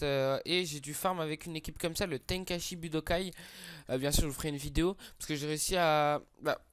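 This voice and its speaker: A man's voice: a little high, a bit bright, clear, resonant tone, no echo. A young man with a slight head cold, casually commenting on game summons with lots of fillers, hesitations and crude slang. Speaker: gaming YouTuber.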